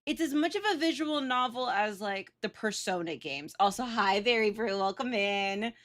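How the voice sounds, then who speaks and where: A woman's voice: medium pitch, expressive, moderate pace, fairly bright tone. A woman livestreamer chatting casually with viewers in a slangy, sweary, self-teasing and exclaiming way. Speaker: live streamer.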